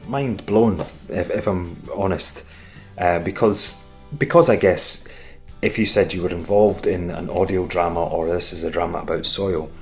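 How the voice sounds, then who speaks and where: A man's voice: low voice, a little slow, darkish tone. A man in his forties speaking candidly and conversationally in a reflective interview. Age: 40s. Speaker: voice actor in an audio drama. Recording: guitar music behind the voice. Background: guitar music.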